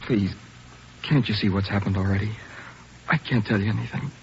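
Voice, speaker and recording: A man's voice: lowish voice, a little fast, creaky, slight background noise. An actor playing a frightened, shaken teenage boy who pleads in a strained voice in a vintage radio drama. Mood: pleading. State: shaken, near tears. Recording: muffled old radio recording.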